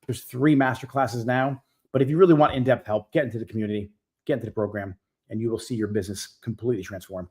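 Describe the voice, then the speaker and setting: Man in his forties, host of a coaching live Q&A, direct and emphatic, mid-pitched. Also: steady tone, fast talker, a little mellow, husky. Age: 40s. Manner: direct, emphatic, repeats key points.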